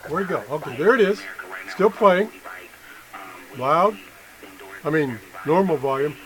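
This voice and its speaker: A middle-aged man giving an unscripted hands-on demonstration as an amateur tester, halting and correcting himself as he thinks aloud. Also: a little high, animated. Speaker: amateur product tester and video host. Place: indoor room at a workbench. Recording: noisy room with background sound.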